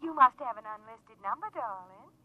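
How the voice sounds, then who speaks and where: Purring femme fatale on the phone. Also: female voice, on the high side, lively intonation, moderate pace, no room sound. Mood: flirtatious. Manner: coy, purring, breathy endearments. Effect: telephone filter. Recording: tinny 1950s radio drama phone voice.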